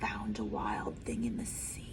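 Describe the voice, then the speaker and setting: A woman reading a picture book aloud to young listeners, theatrically, with dramatic hushes and drawn-out words. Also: very deep voice, completely flat delivery, whispered, over music. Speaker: children's read-aloud storyteller.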